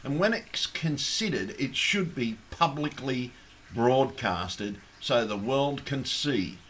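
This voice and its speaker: A croaky, hoarse Australian man making an impassioned, blunt appeal straight to camera, swearing heavily as he rants. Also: medium pitch, expressive, takes it slowly, a bit bright, a little hiss or hum. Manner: blunt, ranting, heavy swearing. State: hoarse, losing his voice. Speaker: activist.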